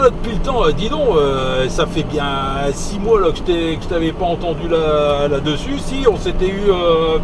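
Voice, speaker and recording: Guy w/ a highish voice rambling on the radio while driving, repeats himself, lots of road noise. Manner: hesitant, rambling, repeats phrases. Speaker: amateur CB radio operator. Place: inside a vehicle on the road. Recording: noisy moving vehicle, engine and road noise.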